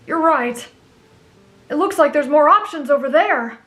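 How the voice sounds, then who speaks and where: A woman's voice: high voice, lively intonation, measured pace. A woman playing a kind, earnest teenage boy swordsman in an anime fan drama, speaking politely and patiently. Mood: gentle, patient. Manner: gentle, polite, patiently explanatory.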